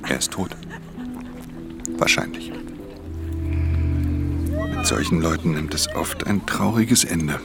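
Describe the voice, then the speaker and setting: Very deep-voiced, laconic pickpocket loner. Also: male voice, lively intonation, takes it slowly, gravelly, long pauses, music in the background, full character voice. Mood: quiet, resigned. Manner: understated, terse, often dropping into near-whisper. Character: aloof, laconic male pickpocket, a weary loner. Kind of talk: German radio play acting with intimate first-person narration.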